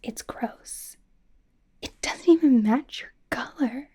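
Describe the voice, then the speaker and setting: A woman playing a bubbly young female pop idol acting as a personal stylist in a softly whispered, informal, rambling ASMR roleplay. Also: high voice, slow, heavily acted. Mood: awkward, reassuring. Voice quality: soft, breathy whisper. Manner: hesitant, rambling, informal, earnest.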